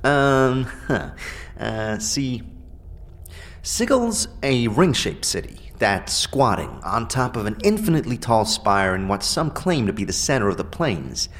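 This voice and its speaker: An actor playing a chatty, wisecracking skull sidekick who explains things colloquially in a fantasy audio drama. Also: male voice, mid-range voice, sing-song, a little slow, fairly bright tone. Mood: chatty, wry. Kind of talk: audio drama game dialogue.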